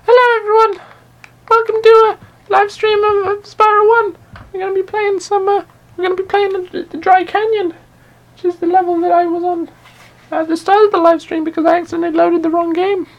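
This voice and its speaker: A man putting on a squeaky falsetto voice as a chipper, over-bright stream host giving a sing-song welcome. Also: little pitch movement, brisk, dull audio. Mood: mock-cheery.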